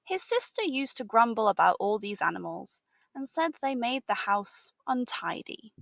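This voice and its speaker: British female narrator, high lively voice, storybook read-aloud, deliberate teacherly delivery, slightly creaky, dry close sound. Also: no room sound. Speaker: English teacher reading aloud. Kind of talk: scripted storybook narration for learners.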